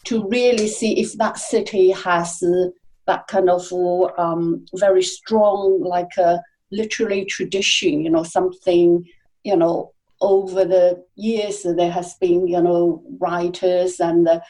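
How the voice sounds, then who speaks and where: Soft-spoken woman guest, halting speech. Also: lowish voice, fairly even intonation, poor audio. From China, Hangzhou. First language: Chinese. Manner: hesitant, many fillers and restarts. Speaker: guest, series editor. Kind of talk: conversational interview.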